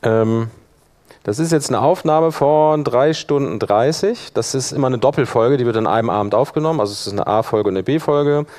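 A man presenting a workshop, explaining a software demonstration informally and talking himself through each step as he works. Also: medium pitch, normal speed. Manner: informal, talking through clicks while working. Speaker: workshop presenter, audio editor.

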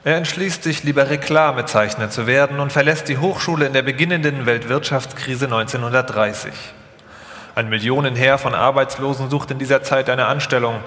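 Male narrator for a live scripted documentary, mid-pitched, in a very reverberant hall. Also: brisk, bright, forceful tone. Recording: echoey hall, long reverb.

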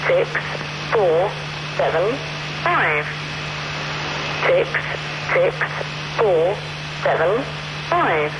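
A woman's voice: on the low side, big pitch swings, extremely slow, long pauses. A woman announcer on shortwave radio, mechanically reading out single digits in a clipped, repetitive way. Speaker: numbers station announcer. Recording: noisy shortwave radio archive recording, reverberant.

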